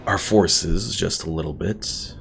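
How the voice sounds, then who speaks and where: A man's voice: deep voice, takes it slowly, creaky, fairly bright tone, a little hiss or hum. A man giving casual, chatty live commentary on a game he plays and reading out viewer comments. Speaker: game streamer.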